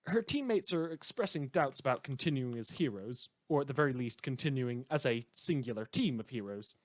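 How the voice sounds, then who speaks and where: A man's voice: medium pitch, animated, a little fast, a bit bright, natural voice. A man playing a chatty, blithely amoral small-town radio host who rambles and corrects himself through a satirical news broadcast. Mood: enthusiastic newscasting. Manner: rambling, cheerfully flippant, self-correcting.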